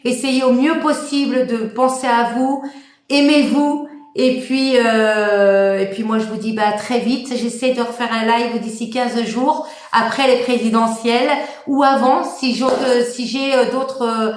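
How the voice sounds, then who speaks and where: Woman, high voice, live-stream host, rambling and warm, echoey room. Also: steady tone, unhurried. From France. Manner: warm, rambling, many fillers and restarts. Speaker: medium hosting a live stream. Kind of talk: casual live-stream chat.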